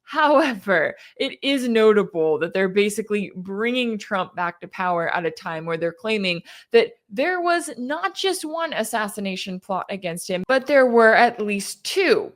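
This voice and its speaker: A woman hosting a news commentary show, speaking in a conversational, emphatic and skeptical way. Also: medium pitch. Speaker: independent news commentator and host. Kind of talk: opinionated news commentary to camera.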